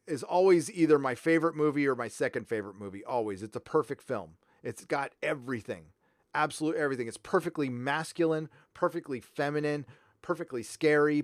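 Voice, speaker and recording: A middle-aged man from Southern California hosting a livestream, chatting casually and reading donations, loud and animated, swinging from mumbles to shouts. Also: a little high, no room sound, studio quality. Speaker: livestream host.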